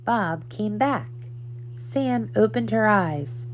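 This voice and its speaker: A woman reading a children's story aloud in a simple, deliberate way for young listeners. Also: mid-range voice, lively intonation, a little hiss or hum, poor audio. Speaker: storybook reader. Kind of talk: children's read-aloud narration.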